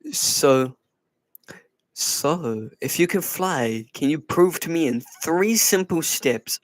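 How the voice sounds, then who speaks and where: Male streamer, playful and teasing, soft higher voice, dry close sound. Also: expressive, slow pace, no echo, poor audio. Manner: playful, teasing, answers chat; soft, light put-on voice. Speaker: livestream host. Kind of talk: casual live chat with viewers.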